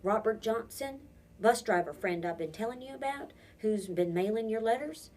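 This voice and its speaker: A woman reading a storybook and voicing a gruff but caring countrywoman, blunt and commanding. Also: on the high side, animated, normal speed, a little mellow, distant mic, soft background music, pitched above the performer's own voice, natural voice. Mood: reasoning, coaxing. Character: gruff, caring, practical older backwoods woman.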